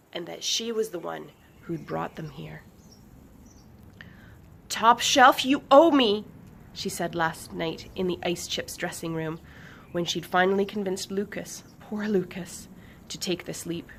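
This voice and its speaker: Lower-pitched woman reading a children's story. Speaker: author reading aloud. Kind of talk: expressive children's audiobook narration. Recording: faint background noise.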